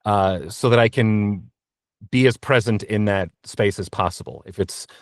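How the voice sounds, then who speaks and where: A man's voice: on the low side, measured pace, no room sound, studio quality. A man answering as an interview guest in a conversational, practical, explanatory way. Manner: practical, explanatory advice-giving.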